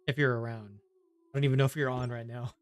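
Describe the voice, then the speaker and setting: A man's voice: mid-range voice, steady tone, fast talker. A man casually streaming a video game, rambling half to himself and half to his viewers. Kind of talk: casual live gameplay chat.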